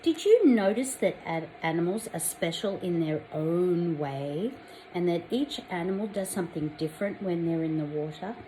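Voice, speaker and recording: An Australian woman reading a picture book aloud to young children, expressively, pausing to put questions to them. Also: lowish voice, a little hiss or hum, rough recording. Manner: addresses young children directly, expressive storytelling.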